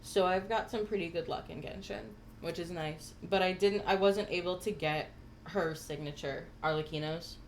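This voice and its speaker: A woman's voice: lowish voice, steady tone, normal speed, a little hiss or hum. A woman casually commentating a game livestream and chatting with viewers, enthusiastic and full of gamer slang. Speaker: game streamer.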